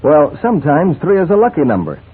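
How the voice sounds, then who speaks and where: A man working as a 1940s radio announcer, delivering a scripted sponsor pitch. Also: wildly swooping pitch, fairly quick, husky. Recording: thin, phone-like 1940s radio recording.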